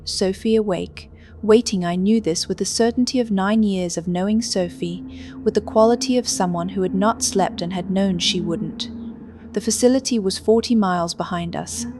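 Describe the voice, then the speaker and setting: A woman's voice: mid-range voice, normal speed, darkish tone, slight background noise. A woman narrating a scripted first-person horror story, reading the prose and dialogue.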